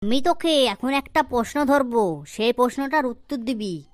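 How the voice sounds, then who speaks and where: A woman voicing a strict, scolding schoolteacher in a comedy cartoon, stern and quick to interrogate and threaten. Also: sits high, lively intonation, dry recording, studio quality. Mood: stern instructing.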